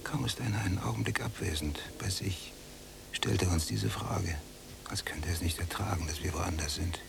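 An actor playing a resentful, long-confined prisoner in a radio play, brooding and deliberate, often hushed and gravelly. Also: male voice, deep voice, background noise. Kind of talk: literary radio play acting, monologue and dialogue.

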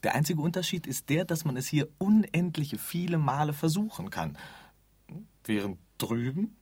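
An actor playing an offhand, forgetful keeper of an empty afterlife in a radio drama, rambling and groping for words. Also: male voice, on the high side, lively intonation, normal speed, a little mellow, husky, no echo. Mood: offhand, explaining. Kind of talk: theatrical radio drama dialogue.

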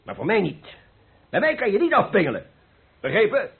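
An actor playing a proud, hot-tempered but good-humoured painter in a vintage radio play, with husky, blustering, earthy talk. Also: male voice, high voice, wildly swooping pitch, fairly quick, exaggerated voice. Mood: indignant, blustering. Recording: muffled narrow-band old radio recording.

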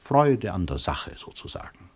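Deep, husky, wry professor. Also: male voice, sing-song, exaggerated voice. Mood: wry, reflective. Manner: measured, dry irony. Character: wry, dignified professor and doctor, unbowed after prison. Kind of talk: 1960s German radio play acting.

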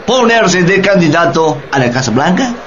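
An actor playing an indignant nationalist hard-liner with an emphatic, rhetorical delivery in a satirical radio drama. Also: male voice, on the high side, sing-song, moderate pace, fairly bright tone, muffled recording. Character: indignant, hard-line American nationalist commentator.

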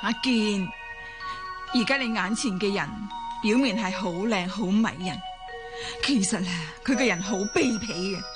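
A woman's voice: mid-range voice, measured pace, music in the background, lightly acted. A woman playing a spiteful, accusing elderly grandmother in a radio drama. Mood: outraged, scornful. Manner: scornful, accusing.

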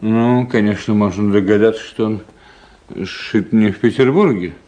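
An actor playing a dry, teasing army doctor in a Russian radio drama. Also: male voice, fairly low pitch, moderate pace, warm tone, lightly acted. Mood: dryly teasing.

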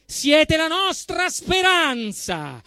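A man's voice: very high voice, huge pitch swings, very slow, pushed and bright, dry recording, studio quality, exaggerated voice. An actor playing an impassioned man in a mock-solemn comic monologue, declamatory with colloquial asides. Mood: mock-solemn, lofty.

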